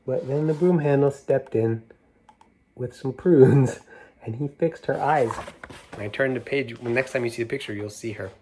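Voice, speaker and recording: A middle-aged man reading a picture book aloud to children, gentle and unhurried, stopping to show the pictures. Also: mid-range voice, slight background noise. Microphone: camera mic, moving toward it to show pages.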